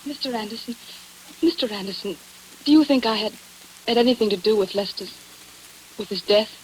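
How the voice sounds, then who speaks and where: An actress playing an earnest, anguished widow in an American radio drama, speaking in a halting, pleading, melodramatic way. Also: female voice, fairly high pitch, close to an everyday voice. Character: earnest, anguished estranged wife turned widow, protesting innocence. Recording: old radio recording, hiss like rain.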